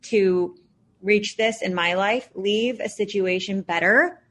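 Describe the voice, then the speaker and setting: A woman's voice: medium pitch, takes it slowly, fairly bright tone, a little hiss or hum. Earnest woman in her thirties, reflective. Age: 30s. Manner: earnest and reflective, with frequent fillers. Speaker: podcast guest, founder. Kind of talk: casual interview answers.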